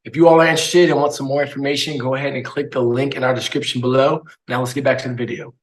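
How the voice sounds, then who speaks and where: A man hosting the show, reading a sponsor pitch to viewers in an upbeat, persuasive way over a video call. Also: little pitch movement, quick pace, roomy sound. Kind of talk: promotional pitch.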